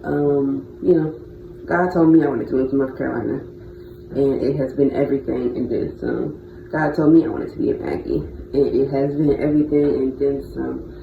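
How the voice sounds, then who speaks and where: A thirty-year-old vlogger talking casually and off the cuff, in a reflective, halting, freestyled way. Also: medium pitch, lively intonation, vocal fry. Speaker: bioengineering student. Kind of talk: casual unscripted personal vlog. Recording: dull lo-fi audio with vehicle-like noise.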